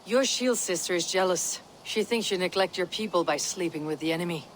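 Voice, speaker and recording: A woman's voice: on the low side, brisk, slight background noise, natural voice. A woman playing a stern, blunt Viking warrior in a video game, questioning in a terse, commanding way. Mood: grave, reporting. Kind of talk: video game cutscene acting.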